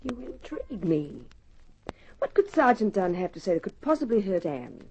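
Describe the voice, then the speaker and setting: An actress playing an educated, poised, gently probing lady with genteel, precise phrasing in an old radio drama. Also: medium pitch, sing-song, moderate pace, noisy background, natural voice. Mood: probing, sincere. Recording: muffled old radio transcription recording.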